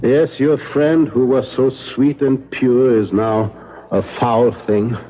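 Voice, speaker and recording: An actor playing a grave, commanding older professor who hunts vampires, speaking solemnly and deliberately in a 1970s radio drama. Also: male voice, mid-range voice, steady tone, close to an everyday voice. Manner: solemn, deliberate, urgent instruction. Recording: dull noisy 1970s radio broadcast.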